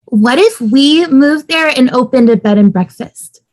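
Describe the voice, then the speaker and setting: A woman acting as an eager young wife at a table read. Her voice is high and she talks persuasively, in an everyday conversational style. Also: expressive, a little slow, darkish tone, rough recording.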